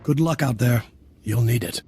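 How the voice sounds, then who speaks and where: A man's voice: mid-range voice, moderate pace, lightly acted. A man voicing a friendly, plainspoken military ally in a video game, reassuring his comrades over battle noise. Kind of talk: video game cutscene acting.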